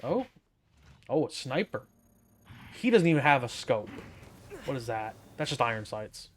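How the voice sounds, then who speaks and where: A man's voice: mid-range voice, big pitch swings, a little slow, a little hiss or hum. A man giving casual gameplay commentary as a streamer, with wry, offhand, muttered asides. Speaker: streamer playing a game.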